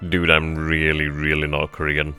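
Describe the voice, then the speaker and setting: Deep-voiced man thinking aloud. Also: completely flat delivery, normal speed, pushed and bright, faint music behind. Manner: thinks aloud, answers chat questions casually. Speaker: gaming channel host. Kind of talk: live strategy game commentary.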